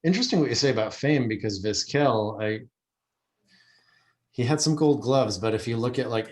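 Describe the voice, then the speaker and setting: Low-voiced man, halting and thoughtful. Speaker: guest speaker, baseball history researcher. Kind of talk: casual discussion on a video call. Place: remote video meeting. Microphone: video call microphone.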